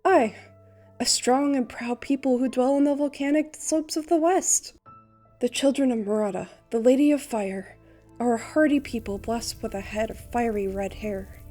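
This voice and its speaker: Woman as a playful, high-voiced boyish bard. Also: normal speed, mellow, faint music behind, lightly acted. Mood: lyrical, teasing. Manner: lilting, theatrical, speaks in rhymes. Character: playful young male bard, impish, poetic, secretly ancient. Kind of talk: dramatized fan comic dub.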